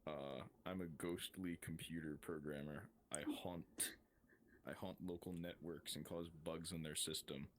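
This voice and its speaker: A man's voice: rumbling low, steady tone, unhurried, a little hiss or hum. A man playing a wry, laid-back ghost possessing a skeleton, chatting nonchalantly in a casual roleplay. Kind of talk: casual improvised roleplay banter.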